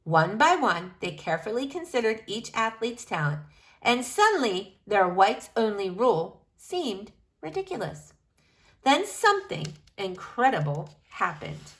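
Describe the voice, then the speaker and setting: A woman reads aloud to children in a bright, fairly high voice. Her delivery is animated, swinging widely in pitch, with emphatic phrasing and drawn-out key words, as a storyteller does. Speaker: storyteller reading a picture book aloud. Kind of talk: expressive children's read-aloud.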